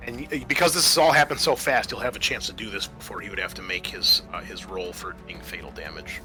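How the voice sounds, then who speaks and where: A man's voice: low-pitched, fast, over music. A man acting as game master, narrating and explaining the rules of a tabletop horror roleplaying game in a conversational way.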